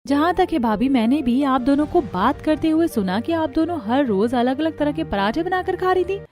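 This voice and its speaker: A nosy young sister-in-law from a family cartoon , acted by a woman. Her voice is very high, and she teases and bargains with insistent questions. Music plays underneath. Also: lively intonation, moderate pace, darkish tone. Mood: complaining. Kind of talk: Hindi family cartoon dialogue.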